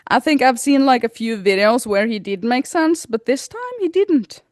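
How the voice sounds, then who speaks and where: A woman's voice: a little high, sing-song, moderate pace, no room sound. Giggly woman chatting. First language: Norwegian. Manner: giggly, playful. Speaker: podcast co-host. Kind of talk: casual chat.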